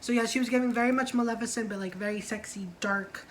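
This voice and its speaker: A woman's voice: on the high side, fairly even intonation, quick pace, a crowd in the background. A woman hosting a casual review vlog, chatting in a slangy way and often checking for agreement. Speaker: vlog host reviewing a TV show.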